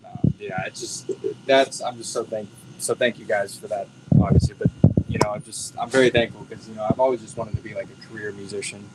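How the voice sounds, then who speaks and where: An American drummer talks in a soft, humble, grateful way with a somewhat low voice. Background noise runs steadily behind him. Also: male voice, steady tone, a little fast. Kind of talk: casual interview. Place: bowling alley venue.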